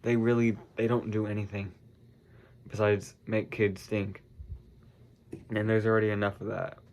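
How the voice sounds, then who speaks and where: A man's voice: lowish voice, level tone, moderate pace, darkish tone, slight background noise. A congested teenage boy chatting casually to camera, rambling with lots of fillers and self-corrections. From United States. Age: teens. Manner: meandering, heavy use of "like" and "um", frequent self-corrections. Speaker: vlogger.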